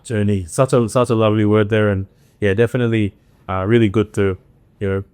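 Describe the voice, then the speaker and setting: A man hosting a podcast with a smooth, resonant, slightly low voice and a dry close sound. He chats casually, affirming his guest and using easy slang. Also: steady tone, a little fast, darkish tone, no room sound. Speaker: podcast host. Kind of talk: casual interview chat.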